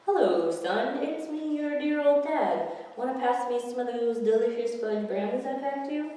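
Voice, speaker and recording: A woman's voice: fairly high pitch, moderate pace, dark tone, voice pushed up, lightly acted. A crafty, hungry seagull from a children's storybook, played by a woman reader. He grumbles and wheedles with mock-official importance. The sound is very echoey and distant, like a big empty hall. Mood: sweetly wheedling.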